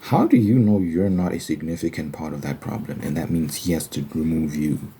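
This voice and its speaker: A man's voice: extremely low pitch, fairly quick, dark tone, slight background noise. A man giving an informal ASMR-style Bible commentary, arguing his points emphatically with rhetorical questions. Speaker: host of an ASMR Bible study channel.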